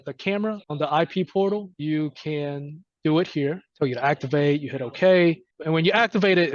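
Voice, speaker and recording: A man giving an informal, halting technical walkthrough as a trainer, conversational and often checking in with listeners. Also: a little high, no echo, muffled recording. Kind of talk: informal screen-share software walkthrough.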